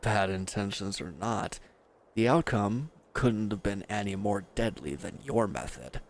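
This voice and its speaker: A man's voice: on the low side, measured pace, vocal fry. A man playing a bitter, wounded villain who is grieving and accusing, speaking with weary sarcasm in a scripted audio roleplay addressed to the listener. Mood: sardonic, accusing.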